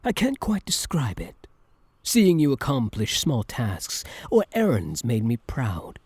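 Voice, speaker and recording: A man playing an obsessive, menacing yandere supervillain in an intimate, theatrical ASMR roleplay, with a breathy, deliberate delivery. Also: mid-range voice, a little mellow, no room sound, full character voice. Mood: tender, obsessive.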